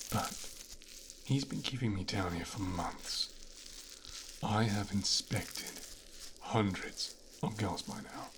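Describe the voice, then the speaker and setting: A man playing an arrogant, bitter young son of a mafia boss in an ASMR roleplay, coldly menacing, with a breathy half-whisper and a deliberate, condescending delivery. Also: on the low side, noisy background. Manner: slow, deliberate, condescending, profane. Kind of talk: scripted ASMR roleplay, speaking directly to the listener.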